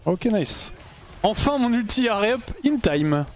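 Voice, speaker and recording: Young man, slightly high voice, live gameplay chatter, thinking out loud, gamer slang, headset mic at home. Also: sing-song, measured pace, faint music behind. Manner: chatty, thinks aloud mid-game, slangy with English gamer terms. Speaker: streamer. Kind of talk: casual live gameplay commentary. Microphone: gaming headset.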